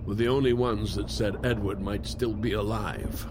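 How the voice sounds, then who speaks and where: Man acting older male captive, lowish pitch, gruff, insistent, audio drama, clear vehicle rumble. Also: fairly even intonation, moderate pace, natural voice. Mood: pressing, blunt. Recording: noisy vehicle ambience behind dialogue.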